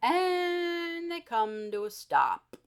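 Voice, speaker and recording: A woman storyteller reading a children's story aloud expressively, with theatrical emphasis and dramatic pauses. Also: very high voice, big pitch swings.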